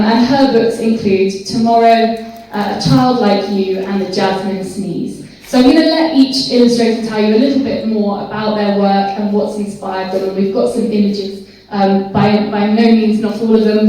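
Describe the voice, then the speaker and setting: A woman's voice: medium pitch, warm tone, slight background noise. A woman chairing a conference panel, introducing speakers in a loose, friendly way with many fillers. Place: conference hall. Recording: echoey hall, distant mic.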